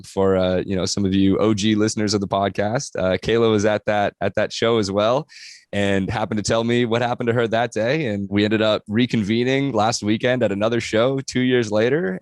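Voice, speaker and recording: A man's voice: on the low side, no echo, studio quality. A man hosting a podcast, welcoming his guest and chatting in a friendly, easygoing way. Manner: friendly, laughs along, short agreeing replies. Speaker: podcast host. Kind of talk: casual podcast interview.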